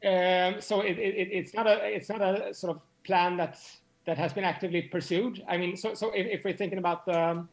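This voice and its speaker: Creaky-voiced man explaining haltingly. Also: high-pitched, steady tone. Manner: halting, stammering over repeated words. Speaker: interview guest, astronomy professor. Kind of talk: spoken explanation in an interview.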